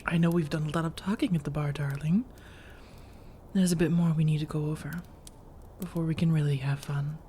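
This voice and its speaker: A woman playing a seductive, teasing vampire roommate in soft-spoken ASMR roleplay, coaxing and reassuring, often drifting into whispers. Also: deep voice, steady tone, a little fast, slight background noise, close to an everyday voice. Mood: flirty, teasing.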